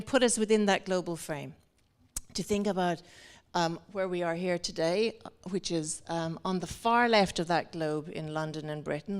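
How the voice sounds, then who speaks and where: A woman's voice: medium pitch, smooth. A woman moderating an art talk in a semi-formal way before an audience, speaking in long, winding, hesitant sentences. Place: indoor talk venue with an in-person audience.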